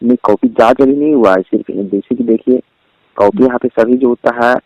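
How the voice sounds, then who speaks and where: A Bihari-accented man talks on a recorded phone call as if from an office. His pitch is average and the sound is dry. He persuades by going round and round his explanations. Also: a little fast, dry recording. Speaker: phone caller posing as board office official.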